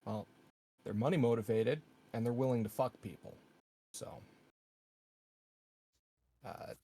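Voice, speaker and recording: Man playing a gravelly, cynical security operative. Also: medium pitch, unhurried, warm tone. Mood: wry, suspicious. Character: cynical, sardonic male colony security operative. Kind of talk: in-character tabletop roleplay dialogue.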